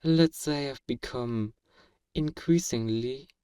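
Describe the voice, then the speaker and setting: Man acting a slow, suave fantasy villain, teasing. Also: medium pitch, warm tone, clean tone, clean studio sound, close to an everyday voice. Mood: amused, obsessive. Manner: measured, amused, condescendingly courteous, chuckling. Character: feared male fantasy villain, suave, teasing, flirtatiously obsessive. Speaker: voice actor performing a roleplay. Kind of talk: ASMR roleplay acting. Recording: roomy echo, distant from the mic.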